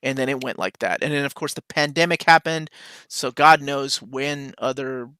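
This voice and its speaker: A man from Peru hosting a relaxed live Q&A about comics. He reads out viewer questions and answers them in an easygoing, enthusiastic way. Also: medium pitch, moderate pace, clear, resonant tone. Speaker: comics channel host. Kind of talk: casual live Q&A chat. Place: home room.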